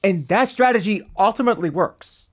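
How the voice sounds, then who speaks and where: A man's voice: sits high, lively intonation, moderate pace, slight background noise. A man chatting casually on a game livestream, rambling and repeating his phrases as he goes. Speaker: game streamer. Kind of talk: casual livestream chat over gameplay. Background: game music.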